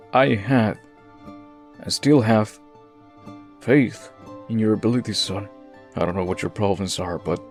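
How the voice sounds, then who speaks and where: A man playing a disappointed, fatherly research boss in a comic dub, stern but caring, formal and deliberate. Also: lowish voice, creaky, a little mellow. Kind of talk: comic book dub acting.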